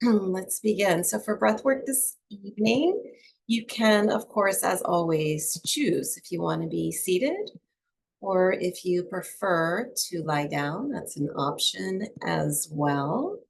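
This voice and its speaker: Low-voiced woman guiding slow breathwork. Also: lively intonation, creaky, breathy edge. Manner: soft, unhurried, soothing delivery with long pauses. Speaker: yoga and breathwork teacher. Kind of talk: guided meditation instruction to a small group.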